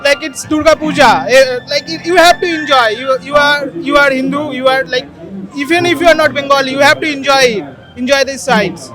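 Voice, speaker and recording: Hesitant high-voiced young man. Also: brisk, music in the background. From India. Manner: hesitant, fills with "like". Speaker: PhD student. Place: crowded outdoor square festival. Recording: loud crowd outdoors.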